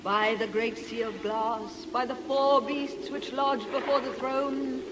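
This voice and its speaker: In heavy reverb over clear noise, an imperious witch priestess intones a demonic summoning. She is slow, solemn and commanding, in radio drama style. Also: high voice, flat delivery. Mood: fervent invoking. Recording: long reverb, noisy outdoor ambience.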